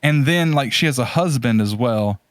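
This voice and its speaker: A man's voice: medium pitch, lively intonation, normal speed, no room sound, studio quality. A man hosting a podcast in casual, opinionated film commentary, emphatic and rambling with repeated phrases. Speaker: podcast host.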